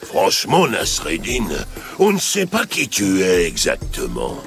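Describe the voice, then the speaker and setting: An actor playing a gruff, blunt royal guard in a children's cartoon, with a gravelly voice. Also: male voice, deep voice, huge pitch swings, unhurried, heavily acted. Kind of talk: French children's cartoon voice acting.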